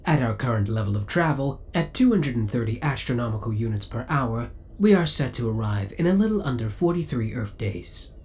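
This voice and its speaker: Spaceship AI , played by a man: formal, courteous, politely obsessive, with animated pitch swings, for an ASMR roleplay. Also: a little fast, few pauses, slight background noise, natural voice. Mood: helpful, informative. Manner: formal, courteous, precise servant-like delivery. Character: devoted, possessive male spaceship AI, politely obsessive.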